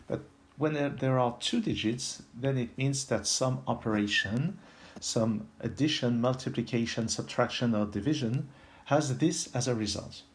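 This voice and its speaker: A man from France with a French accent, giving an unscripted puzzle tutorial in a halting, step-by-step way, thinking aloud. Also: medium pitch. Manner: halting, pauses mid-sentence, explains step by step. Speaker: puzzle video host.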